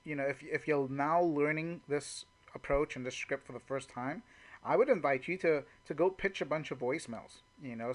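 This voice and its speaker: Bright-voiced man giving informal sales training. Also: medium pitch. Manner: conversational, unscripted, frequent "you know". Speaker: network marketing trainer. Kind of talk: informal training talk with call examples.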